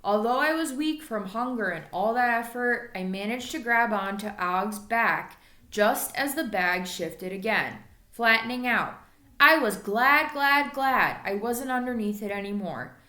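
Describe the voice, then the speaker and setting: Female teacher reading a kids' chapter book. Expressive, higher-pitched, recorded in an echoey room. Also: a little slow. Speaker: elementary school teacher reading aloud. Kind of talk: storybook read-aloud narration.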